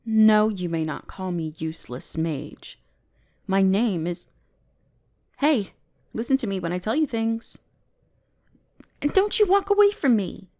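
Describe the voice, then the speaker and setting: A haughty young-lady elf mage from a fantasy roleplay audio , acted by a woman. She speaks in a mid-range female voice and draws out her words slowly. Her delivery is bossy and condescending, easily flustered and exasperated. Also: medium pitch, expressive, a little mellow, natural voice. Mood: flustered, indignant. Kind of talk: scripted roleplay audio drama addressed to the listener.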